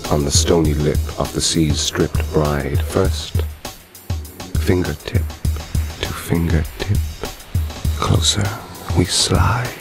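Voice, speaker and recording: Very deep, gravelly devil poet. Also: male voice, takes it slowly, exaggerated voice. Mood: dark, incantatory. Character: silver-tongued devil poet, dark, seductive, mysterious. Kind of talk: radio drama acting over music. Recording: music behind the voice.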